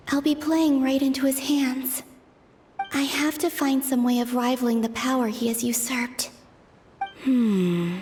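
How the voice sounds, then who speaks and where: A woman voicing a gentle, pensive young moon goddess in a video game, speaking softly in measured, introspective monologue. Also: on the high side, slight background noise. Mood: troubled, resigned, then realizing, resolved.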